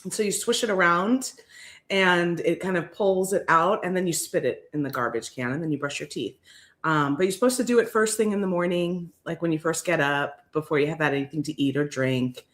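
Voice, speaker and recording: A woman co-hosting a craft livestream, chatting casually with a raspy morning voice, telling a story and laughing often. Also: lowish voice, fairly quick, fairly bright tone. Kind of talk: casual chat.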